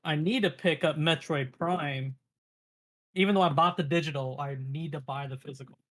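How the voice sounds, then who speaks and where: A man's voice: a little high, normal speed, a bit bright. A man co-hosting a casual gaming podcast, laid-back and easygoing. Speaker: podcast co-host.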